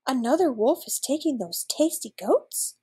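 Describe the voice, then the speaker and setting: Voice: sits high, expressive, moderate pace, a little mellow, slightly rough, exaggerated voice. A woman voicing a cunning, grumbling old male wolf in a storybook. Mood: scheming.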